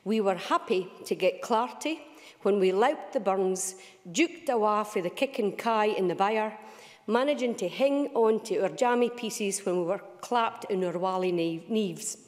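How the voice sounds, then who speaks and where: A woman's voice: medium pitch, measured pace, a little mellow. A Scottish woman giving a formal parliamentary speech, full of Scots words. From Scotland. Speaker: member of parliament. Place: large debating chamber. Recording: long reverb of a debating chamber.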